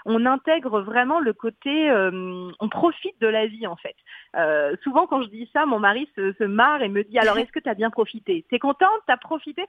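A woman author, chatting in a radio interview, full of fillers and quick laughs. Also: a little high, dry recording. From French-Canadian. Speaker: author guest. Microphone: phone line. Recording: tinny phone line.

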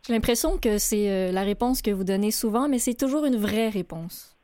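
A woman hosting a radio interview show, speaking gently with many hesitations. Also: medium pitch, moderate pace, no echo.

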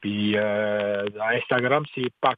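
A man speaks as a radio guest, slowly and haltingly, stumbling through restarts and fillers. His voice comes through a thin, tinny phone line with a faint hiss underneath. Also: on the low side, steady tone. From Quebec. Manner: hesitant, many restarts and fillers. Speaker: singer-songwriter guest. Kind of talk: radio interview.

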